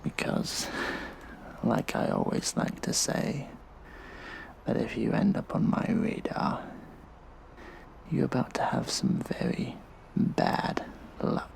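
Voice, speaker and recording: A man playing a smug, mischievous hacktivist vigilante in an audio drama, speaking in a hushed, breathy, halting monologue. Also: on the low side, huge pitch swings, slight background noise, heavily acted. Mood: gloating, mischievous.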